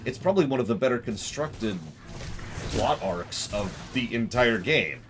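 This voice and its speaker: A man's voice: mid-range voice, animated, measured pace. A man giving casual, analytical game commentary to a live chat in a blunt, conversational way. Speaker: game streamer. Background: game music.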